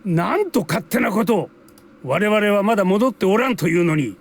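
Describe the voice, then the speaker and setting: A gravelly, impatient elderly man from a Japanese video game. He speaks quickly and with big swings of pitch, using old-fashioned, worried, blustery phrasing. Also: expressive, full character voice. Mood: annoyed, urgent.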